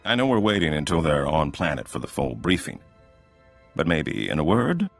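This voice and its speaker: An actor playing a spaceship ally in a video game. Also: male voice, extremely low pitch, very animated, normal speed, creaky, soft background music, heavily acted. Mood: curious. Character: urgent male spaceship ally.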